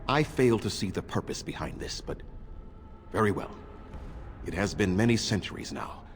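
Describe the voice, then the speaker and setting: A man acting as a proud, bitter, ancient elf clan leader in a fantasy game, speaking in a measured, formal and condescending way. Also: on the low side, animated, faint music behind. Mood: dry, guarded.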